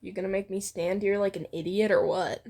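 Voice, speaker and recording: Woman voicing a gruff, creaky, hot-tempered young man. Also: mid-range voice, fairly even intonation, fairly quick, dark tone, voice pushed down, close to an everyday voice. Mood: irritated. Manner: barking, curt. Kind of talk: fan fiction read aloud.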